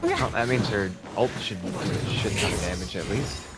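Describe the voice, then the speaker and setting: Deep-voiced man streaming a game. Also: lively intonation, moderate pace. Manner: casual, blunt, swearing. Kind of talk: gameplay commentary. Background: game music.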